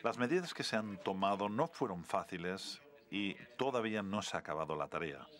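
A man interpreting a formal speech live, halting and correcting himself, with stretched words followed by quicker bursts. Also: on the low side, animated, a bit bright. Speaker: simultaneous interpreter.

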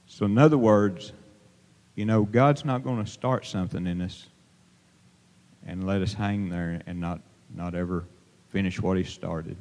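Man, middle-aged, lay preacher, halting and plain-spoken, slightly low voice, handheld mic in a reverberant sanctuary. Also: a little mellow. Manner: plain-spoken, halting, many fillers and restarts. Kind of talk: informal sermon. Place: church sanctuary, at a pulpit. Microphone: handheld microphone held to mouth. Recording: long reverb of a church hall.

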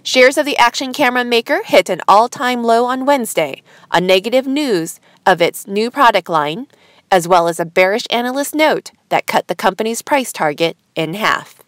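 A woman host reading scripted news headlines in a formal, measured newsreader manner. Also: on the high side, lively intonation, a bit bright, no echo, clean studio sound.

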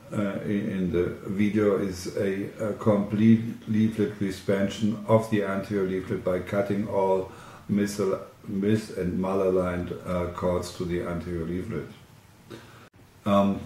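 Halting male lecturer in an echoey room. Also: fairly low pitch, darkish tone. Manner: halting, hesitant delivery with frequent long fillers. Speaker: surgeon giving a lecture. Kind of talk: medical lecture over slides. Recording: roomy, echoing sound.

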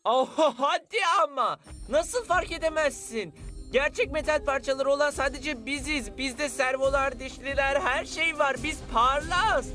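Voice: high voice, unhurried, pushed and bright, faint music behind. An actor voicing an earnest, self-assured cartoon bionic insect hero who protests indignantly. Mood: insistent. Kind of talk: animated cartoon dub.

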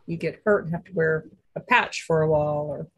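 A woman joining a video call discussion, chatty and relaxed. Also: deep voice, brisk, no echo. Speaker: panelist.

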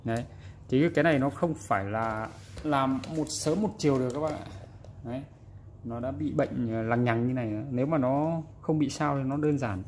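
A man's voice: mid-range voice, normal speed, a little mellow. Man explaining repairs, noisy background. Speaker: repairer presenting a repair video. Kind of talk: casual hands-on repair explanation. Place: indoors at a repair workbench. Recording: background noise, room ambience.

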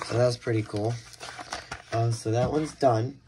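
A man's voice: medium pitch, steady tone, measured pace, warm tone, poor audio. Hesitant man rambling, with background noise. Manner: hesitant, rambling, self-correcting. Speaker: unboxing video host. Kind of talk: casual unscripted unboxing commentary. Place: indoors at a table. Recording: clear background noise, handling clicks.

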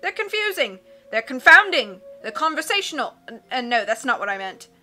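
Lady doing a loud rambly sub captain guy, bellows everything, sharp high voice. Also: very animated, brisk, exaggerated voice. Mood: hearty bluster. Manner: booming, rambling, cries out his lines. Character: blustering male submarine captain, loud and hasty. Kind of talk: read-aloud storybook acting.

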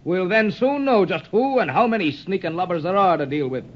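A hearty high-pitched sea captain, muffled recording. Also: male voice, animated, a little fast. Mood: hearty, resolute. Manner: salty nautical talk, emphatic. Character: hearty, steady old-time sea captain. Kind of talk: 1930s radio serial acting. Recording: muffled vintage 1930s radio recording.

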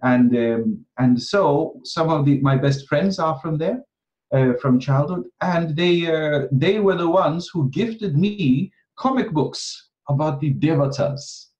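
A man in his thirties from Kenya, a guest astrology teacher, talking casually on a video call and pausing often with ums and restarts. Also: on the high side, a little mellow. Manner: hesitant, many ums and restarts. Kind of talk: casual interview talk. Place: home room, video call. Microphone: video call webcam. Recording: dull video-call audio.

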